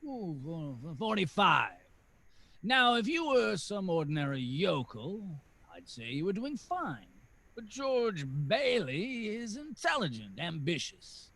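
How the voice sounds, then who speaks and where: A man playing a scheming, sneering old businessman in 1940s radio drama style, speaking deliberately and condescendingly. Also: high-pitched, sing-song, vocal fry, pushed and bright, heavily acted. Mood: sneering, goading.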